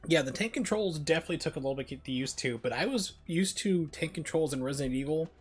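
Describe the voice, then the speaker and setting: A man's voice: fairly high pitch, animated, fast talker, husky, faint music behind. A man giving casual, rambling, opinionated live commentary as he streams a video game and chats with viewers. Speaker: game livestreamer.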